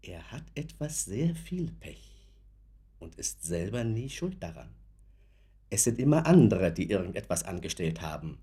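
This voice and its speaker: A measured lawyer in a radio drama. His deep, mellow voice is husky, and he is courteous yet sceptical, probing with deliberate care. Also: very animated, heavily acted. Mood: grave, probing. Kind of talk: German radio play acting.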